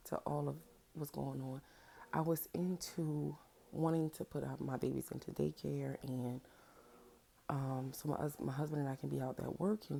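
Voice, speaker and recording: A woman in her thirties with a naturally deep voice, a little under the weather, giving a loose, rambling message at home, full of ums and asking "right?". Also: gravelly, warm tone, slight background noise. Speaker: spiritual vlogger. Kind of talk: casual direct-to-camera message. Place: home with a washing machine running. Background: washer running, children fussing at times.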